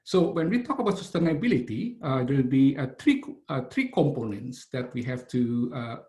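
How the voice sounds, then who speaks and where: A middle-aged man from Indonesia, giving a webinar lecture over a video call, halting and full of "uh" fillers and restarts. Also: mid-range voice, big pitch swings, warm tone. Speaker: engineering professor.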